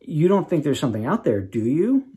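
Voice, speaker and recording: A man's voice: a little high, big pitch swings, a little fast, dark tone, slightly rough, full character voice. A man voicing a small, anxious boy at summer camp in a children's storybook, worried and plaintive.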